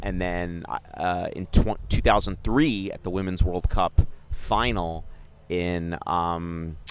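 Rambling middle-aged man, fairly low voice. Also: fairly even intonation, slow, noisy background. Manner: rambling, self-interrupting, joking. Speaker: podcast co-host, sports journalist. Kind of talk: casual chat.

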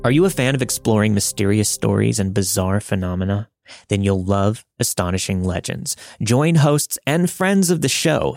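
A man with a fairly low voice works as a podcast host and narrator. He delivers scripted material in a storytelling style. The recording is dry, with very little room in it. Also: vocal fry, darkish tone, no echo. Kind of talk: scripted first-person story narration and host-read promo.

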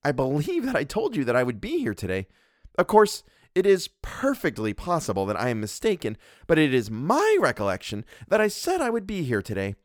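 A man's voice: on the high side, huge pitch swings, a little fast, no room sound, clean studio sound, voice pushed up. A man reading a story and voicing an imperious, fussy middle-aged woman who scolds and condescends. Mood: haughty scolding.

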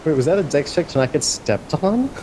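A man playing a tabletop game, casually describing his character in halting, repetitive, improvised phrases. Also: sing-song. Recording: faint rumble behind voice.

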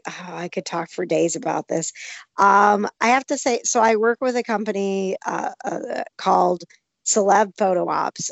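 A woman with a hoarse, gravelly voice, still recovering from losing it, chatting casually with lots of laughs and restarts. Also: lowish voice, no room sound, muffled recording. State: recovering from lost voice. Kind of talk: casual chat.

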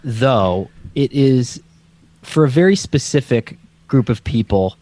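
A man's voice: mid-range voice, animated, takes it slowly, warm tone. A young man with a Scranton, Pennsylvania accent, co-hosting a casual sports podcast and rambling with lots of fillers. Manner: rambling, hedging with many fillers. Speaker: podcast co-host.

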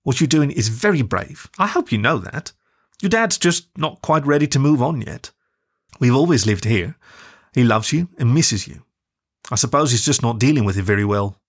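A man voicing a gentle, middle-aged mother dog, soothing and apologetic. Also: medium pitch, animated, normal speed.

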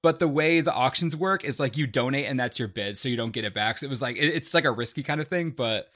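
A man telling stories in casual podcast chat, excitable and repeating words for emphasis. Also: mid-range voice, quick pace. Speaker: guest, charity streamer.

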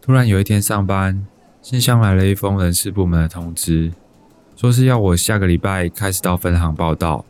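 A man's voice: low voice, normal speed, a little mellow, clean tone, lightly acted. An actor with a Taiwanese Mandarin accent playing a timid, despondent bank employee in his thirties, narrating his life introspectively in a radio drama. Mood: wistful, resigned. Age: 30s.

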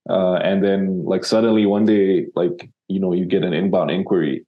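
A man's voice: low voice, fairly even intonation, normal speed, phone-quality sound. A man from Sri Lanka, a copywriter guest in an interview, talking casually and rambling with many fillers and restarts.

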